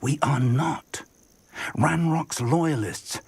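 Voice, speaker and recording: An actor playing a cautious older wizard mentor in a video game, measured and quietly authoritative. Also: male voice, fairly high pitch, vocal fry, breathy edge, dry recording, heavily acted. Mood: cautious, hushed. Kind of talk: scripted video game dialogue.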